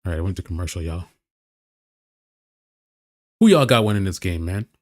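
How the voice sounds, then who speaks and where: A man with a fairly low voice hosts a live sports stream. He talks informally and with plenty of slang, reacting to the game as it goes. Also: animated, moderate pace. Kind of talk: casual play-by-play reaction chat.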